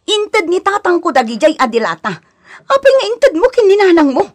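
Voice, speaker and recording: A woman's voice: very high-pitched, very animated, fairly quick. A Filipino woman with an Ilocano accent acting an aggrieved young wife in a radio drama, plaintive and protesting. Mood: indignant. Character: aggrieved young wife, resentful of her in-laws.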